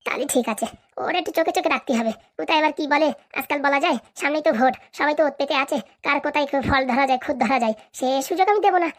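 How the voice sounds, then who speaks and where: A woman's voice: high-pitched, racing, lightly acted. A woman voicing a bossy, scheming village housewife in a comic cartoon, with brash, scolding, rustic speech. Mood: scheming, wary.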